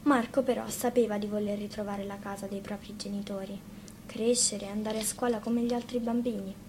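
Medium-pitched woman narrating a fairy tale. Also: fairly even intonation, a little fast, dark tone, a little hiss or hum. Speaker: storyteller.

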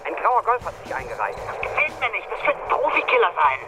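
A man's voice: high voice, wildly swooping pitch, quick pace, heavy background noise. A man playing a tense, eager policeman giving urgent, clipped reports from a pursuit car in a radio drama. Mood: alert, tense. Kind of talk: radio crime drama acting.

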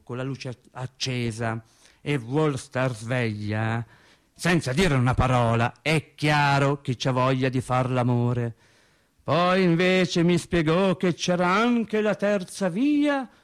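An actor playing an unread, plainspoken factory husband, telling his story in an animated, colloquial radio-theatre monologue with hesitant repetitions. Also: medium pitch, lightly acted.

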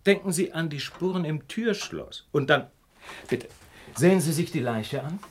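An actor playing a brilliant detective in a German radio play, precise and didactic, with ironic, condescending politeness. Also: male voice, a little high, animated, normal speed. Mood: emphatic, lecturing. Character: brilliant male detective, superior, calmly lecturing, ironic.

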